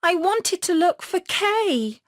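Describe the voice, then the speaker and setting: A woman's voice: extremely high pitch, big pitch swings, slow pace, dry recording, studio quality, voice pushed up, heavily acted. A woman voicing an earnest, distressed little girl in a fairy tale, exclaiming plaintively. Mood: dismayed. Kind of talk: storybook character voice.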